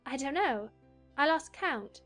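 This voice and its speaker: The earnest, very high-voiced young heroine of a children's audio play, reasoning politely over music woman acting. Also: lively intonation, takes it slowly, fairly bright tone, heavily acted. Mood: puzzled. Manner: earnest, logical, faintly exasperated. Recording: music behind the voice.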